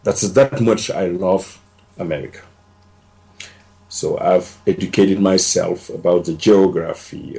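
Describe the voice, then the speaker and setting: Gravelly-voiced man. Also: low voice, warm tone, low-quality recording. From Senegal. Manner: earnest, repeats words and restarts often. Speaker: podcast guest. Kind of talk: casual storytelling interview.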